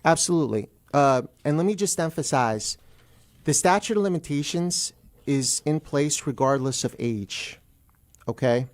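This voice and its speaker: A man's voice: fairly high pitch, lively intonation, unhurried. Middle-aged man explaining as an expert guest. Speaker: guest attorney on a radio show. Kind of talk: interview, explaining legal procedure.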